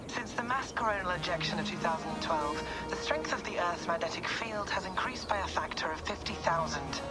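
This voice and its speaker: An actor playing a worried scientist giving a grave, formal lecture, heard in a video game news report. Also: male voice, fairly high pitch, close to an everyday voice, poor audio. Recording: broadcast clip with loud music over it.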